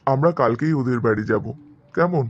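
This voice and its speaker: A man's voice: mid-range voice, animated, a little fast, natural voice. A man playing an earnest, dutiful young man in love in a cartoon story, explaining himself, with muffled sound.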